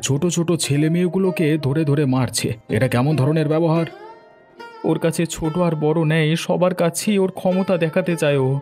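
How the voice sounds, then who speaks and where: A man's voice: fairly high pitch, a little mellow, close to an everyday voice. A man voicing an indignant, determined villager in a cartoon, protective and bent on payback. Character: indignant, determined villager vowing to punish a bully. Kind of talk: Bengali cartoon voice acting.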